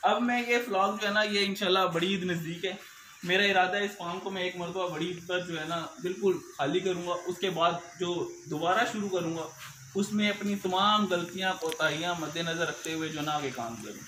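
A man's voice: sits high, brisk, roomy sound, noisy background. A young man explains things as a cattle farmer being interviewed, often repeating filler phrases, in an open cattle shed. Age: young adult.

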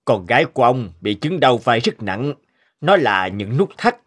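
A man's voice: medium pitch, sing-song, normal speed. A man voicing a serious, instructive middle-aged doctor in a cartoon dub. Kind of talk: cartoon dubbing.

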